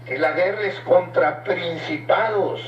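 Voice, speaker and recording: Male radio preacher, heard through a vintage speaker in an echoey room, with clear noise and hum. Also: high voice, normal speed, far from the mic. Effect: heard through an old radio speaker. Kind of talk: Spanish-language religious broadcast. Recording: muffled, noisy, echoey old radio playing from afar.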